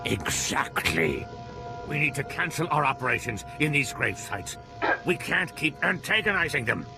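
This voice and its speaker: A man's voice: mid-range voice, very animated, moderate pace, music in the background. A man playing a headstrong, idealistic alien medical scientist in a video game, argumentative and emphatic. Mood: earnest, insistent.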